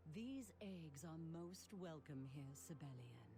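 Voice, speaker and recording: A low-voiced, regal dragon queen. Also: lively intonation, slow. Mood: stern, cool. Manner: formal, imperious. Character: regal, stern dragon queen, guardian of life. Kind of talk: fantasy video game voice acting.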